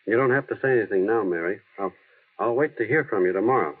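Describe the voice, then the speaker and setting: An actor playing an earnest, shy, plain-spoken small-town man, halting and sincere, in a vintage radio drama. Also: on the low side, lively intonation, husky. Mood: shy, tender. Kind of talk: 1930s-40s radio drama acting. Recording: muffled, lo-fi old radio recording.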